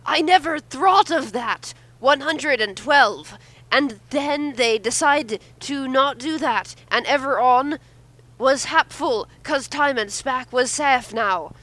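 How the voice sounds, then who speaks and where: A dim, boastful kid villain, played by a woman in a sci-fi parody. The voice is high and bright, with slow, deliberate delivery and mangled words. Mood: gloating, petulant.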